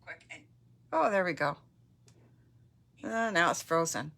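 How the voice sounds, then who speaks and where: A Canadian woman getting over a cold, with a slight cough, chatting casually and warmly with viewers as she hosts a papercraft live stream. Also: on the low side, expressive, measured pace, gravelly. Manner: chatty and friendly, with fillers and asides. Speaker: papercraft demonstrator.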